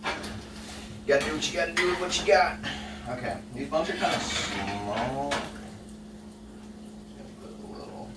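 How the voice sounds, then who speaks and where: A young man talks through his cooking in a loose, chatty way, full of "uh" and "um". His voice sits at an ordinary middle pitch. It carries a roomy echo and background noise from a camera set across the kitchen. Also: expressive, moderate pace, pauses often, distant mic. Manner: chatty, offhand, lots of fillers. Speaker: home cooking vlogger. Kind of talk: casual cooking vlog. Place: home kitchen. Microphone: camera on the counter, across the kitchen.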